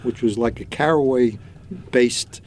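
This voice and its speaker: A man with a mid-range voice co-hosts a casual talk show, reminiscing in a relaxed and easy way. Faint room noise sits under his voice. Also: medium pitch, slow pace. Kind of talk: casual interview chat.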